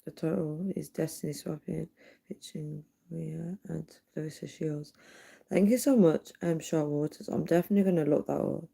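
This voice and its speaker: Hoarse, hushed woman. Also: low voice, moderate pace. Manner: speaking softly and quietly; earnest, drifting, tired. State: hoarse, voice nearly gone. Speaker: livestreamer. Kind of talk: casual live chat reading viewer comments. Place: her home at night with others asleep. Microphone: built-in device mic, no dedicated microphone.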